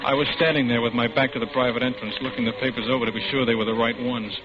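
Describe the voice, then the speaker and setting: An actor playing an anxious young office clerk in a 1950s radio drama, speaking earnestly and confessionally. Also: male voice, mid-range voice, fairly even intonation, rapid-fire, natural voice. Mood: grim, confessional. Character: anxious young law-office clerk recounting his downfall. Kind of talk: 1950s radio drama acting and first-person narration. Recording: muffled vintage radio, loud music bed.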